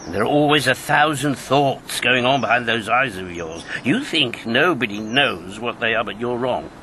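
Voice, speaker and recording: A man's voice: mid-range voice, animated, moderate pace, pushed and bright. An actor playing a talkative, needling British ex-agent in his fifties in a radio drama, rambling and sardonic. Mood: earnest, insistent. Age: 50s. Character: talkative, needling fifty-year-old male ex-wartime agent. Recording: clear background noise with birdsong.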